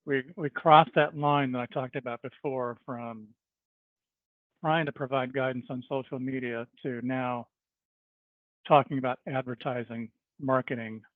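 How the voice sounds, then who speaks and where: A man's voice: medium pitch, fairly even intonation, a little slow, no room sound. Hesitant man on a tinny phone line. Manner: hesitant, restarts often. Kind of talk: committee meeting discussion.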